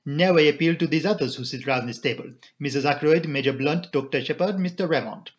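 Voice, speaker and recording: Man acting an elderly detective, earnest, raised pitch. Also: natural voice. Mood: grave appeal. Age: older. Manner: formal, imploring, deliberate, theatrical. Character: elderly Belgian male detective, courteous, earnest, commanding.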